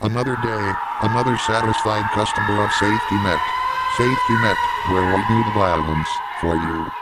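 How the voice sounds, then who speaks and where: A man's voice: lowish voice, fairly even intonation, moderate pace, poor audio. A man reading scripted narration for a horror video in a formal, announcer-like manner. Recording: loud music over the voice. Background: loud music.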